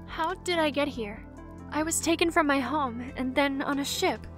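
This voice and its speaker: A woman plays a young rescued captive in an audio drama. Her voice is extremely high, timid and hesitant. Faint music plays behind her. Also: moderate pace, a bit bright. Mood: bewildered. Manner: hesitant, stammering.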